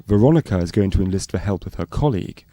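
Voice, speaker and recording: A man's voice: sits low, lively intonation, fast, warm tone, dry recording. A man reading scripted narration for a spoof fly-on-the-wall documentary.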